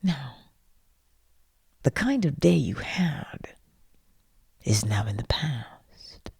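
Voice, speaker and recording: A woman leading a guided sleep meditation in a breathy, soothing voice that often drops into a whisper, gently encouraging with long pauses. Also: very deep voice, big pitch swings, dry recording, clean studio sound.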